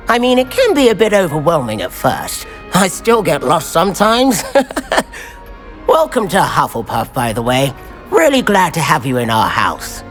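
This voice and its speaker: Friendly teenage boy, British accent, very animated intonation, earnest and chatty, game dialogue with music behind. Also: high voice, exaggerated voice. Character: friendly, chatty, easy-going teenage schoolboy. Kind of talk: video game character dialogue.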